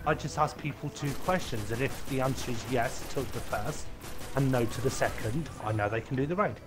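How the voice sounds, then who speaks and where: A man's voice: mid-range voice, a little fast, over music. Joking man leading a raid. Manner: joking, swears. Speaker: raid leader. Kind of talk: casual gaming chat.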